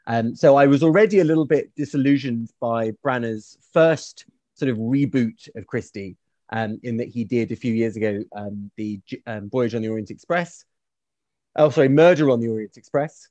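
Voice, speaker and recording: A man's voice: medium pitch, moderate pace, darkish tone, dry recording, highs cut off. A middle-aged man co-hosting a casual critical discussion in an erudite, opinionated way. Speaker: podcast co-host, historian.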